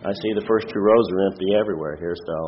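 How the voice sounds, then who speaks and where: A man with a head cold and a nasal, congested voice gives an informal sermon as a lay preacher, in a halting, plain-spoken way. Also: lowish voice, muffled recording, a little hiss or hum. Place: church, speaking before a congregation.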